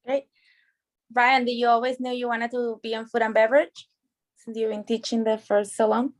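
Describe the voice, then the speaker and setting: A woman's voice: on the high side, flat delivery, moderate pace. A woman moderating a panel, asking short questions and giving brief encouragement.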